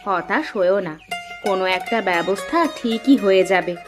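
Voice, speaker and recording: A woman voicing a practical, reassuring village housewife and mother in a cartoon, calm and homely in manner. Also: medium pitch, normal speed, lightly acted. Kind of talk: cartoon voice acting. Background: plucked mandolin music.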